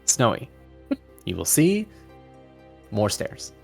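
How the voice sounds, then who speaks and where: Low-voiced man narrating as game master. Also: huge pitch swings, very slow, gravelly. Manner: conversational, descriptive. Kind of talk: narrating a tabletop fantasy game. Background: music.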